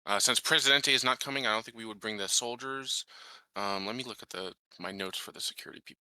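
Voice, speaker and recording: Male tabletop player, lowish and very sharp-edged, dry sound, casual planning chat. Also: fast talker, smooth, no echo, poor audio.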